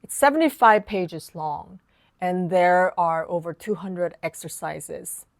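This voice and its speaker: A woman whose first language is Korean, a piano teacher explaining practice tips in a calm, casual lesson. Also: lowish voice, slow pace, vocal fry, no echo, clean studio sound. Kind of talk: online lesson talk.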